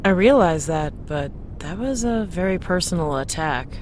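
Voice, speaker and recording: A woman's voice: on the low side, animated, normal speed, a little mellow, slight background noise, lightly acted. A woman playing a loyal, caring starship first officer in a science fiction audio drama. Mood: concerned, gentle.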